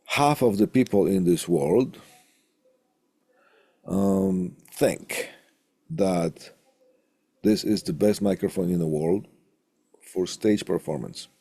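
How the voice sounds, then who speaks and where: Man, very low husky voice, college instructor, hesitant pauses, informal lecture, close dry studio mic. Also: animated, mellow, no room sound. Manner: halting, hesitant delivery with long pauses. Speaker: college instructor teaching audio equipment. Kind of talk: informal recorded lecture over slides. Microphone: close studio condenser microphone through tube preamp.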